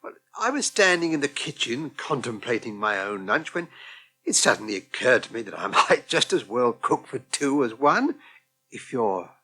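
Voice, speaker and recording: A man's voice: mid-range voice, sing-song, moderate pace, pushed and bright, breathy edge, full character voice. An actor playing a cheerful, thoughtful older English man in a radio soap, chirpy and genteel with a solicitous manner. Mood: encouraging. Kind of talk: British radio soap acting.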